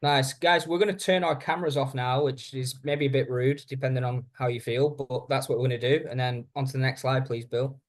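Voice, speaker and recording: A man presenting a software training webinar in a conversational, informal way, speaking directly to his listeners. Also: mid-range voice, fairly even intonation, fairly quick, hardly any pauses.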